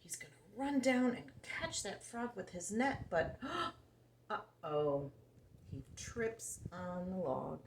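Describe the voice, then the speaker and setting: A woman's voice: fairly low pitch, takes it slowly. A woman telling a picture-book story to young children, simply and expressively, putting questions to the listener. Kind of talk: animated read-aloud storytelling for young children. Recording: roomy echo, faint background noise.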